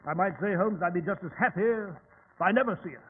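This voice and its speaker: A tinny old radio recording with light hiss. An actor plays a British gentleman sidekick with a high male voice, nervous and blustery, who stammers and bumbles in radio-play fashion. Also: a little fast, lightly acted. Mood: grumbling. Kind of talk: old-time radio drama acting.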